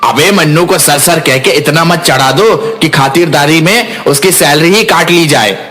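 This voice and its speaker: A man playing a cheeky, brash, slangy young man down on his luck in an animated moral story. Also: on the high side, unhurried. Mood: indignant.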